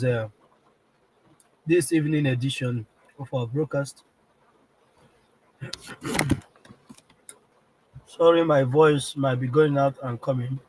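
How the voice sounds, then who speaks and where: Husky Nigerian man with a cold. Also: mid-range voice, a little mellow, low-quality recording. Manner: keeps clearing his throat, repetitive and insistent. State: has a heavy cold, throat strained. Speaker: live-stream broadcast host. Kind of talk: political live-stream address.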